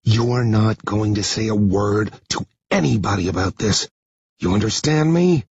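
A man voicing a tough, protective teenage boy in an audiobook, with a forceful, commanding delivery. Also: fairly low pitch, expressive, takes it slowly, slightly rough, no room sound, highs cut off, heavily acted. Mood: low, menacing. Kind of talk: dramatic first-person audiobook dialogue.

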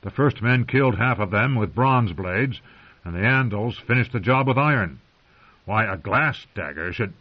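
A man's voice: on the low side, animated, normal speed, pitched above the performer's own voice. A man voicing a stern, impatient old male commander who growls and scorns. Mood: gruff. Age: older. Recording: muffled lo-fi recording.

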